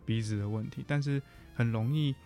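A man's voice: medium pitch, fairly quick, mellow. A man with a Taiwanese accent speaks in a mid-range voice as a guest counselor. He talks casually and reflectively, circling back to rephrase what he means. Faint music plays behind him. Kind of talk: casual conversational interview.

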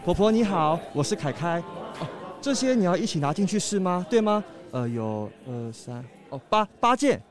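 Casting: actor as flustered courteous shop boy, kids' audio drama; lightish pitch, lively, stammers apologetically. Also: normal speed, over music. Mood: polite. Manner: hesitant, placating, repeats himself. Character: polite, flustered young male shop clerk. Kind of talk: children's audio drama acting.

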